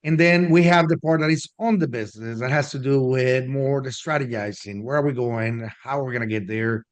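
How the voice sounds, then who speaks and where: A man hosts a business interview in a conversational style. His voice sits at a middle pitch for a male speaker, and he talks as a coach putting questions to a guest. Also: fairly quick.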